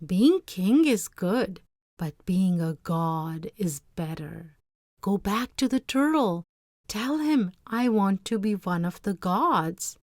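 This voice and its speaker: A woman's voice: mid-range voice, expressive, slow, a little mellow, dry recording, studio quality. A woman voicing an imperious, greedy fisherman's wife in a storybook, commanding and emphatic. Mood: demanding. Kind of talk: storybook read-aloud character voice.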